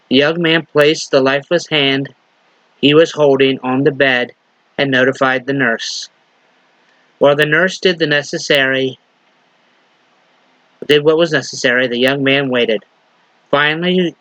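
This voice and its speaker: A man, a minister, giving a sermon and reading a short story aloud, unhurried and halting, with a slight lingering cough. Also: medium pitch, a bit bright, no echo, rough recording. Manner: unhurried, halting with fillers and restarts.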